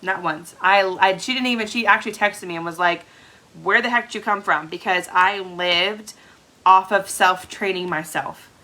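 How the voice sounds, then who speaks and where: Chatty woman, bright and congested. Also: mid-range voice, moderate pace. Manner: chatty, repeats "okay?" to check in. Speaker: direct sales team leader. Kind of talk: live training for new sellers. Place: home room with a product shelf.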